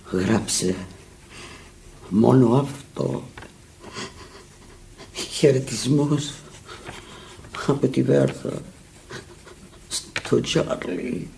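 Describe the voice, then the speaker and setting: An actress in a radio drama playing a sick, bitter, foul-mouthed and desperate fallen woman, speaking theatrically and haltingly, often repeating words. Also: very deep voice, gravelly, mellow, heavily acted. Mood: broken, sorrowful. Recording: noisy archival radio recording.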